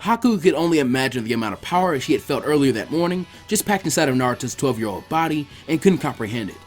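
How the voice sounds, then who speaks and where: Fast, animated male story narrator. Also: mid-range voice, faint music behind. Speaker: fan fiction narrator.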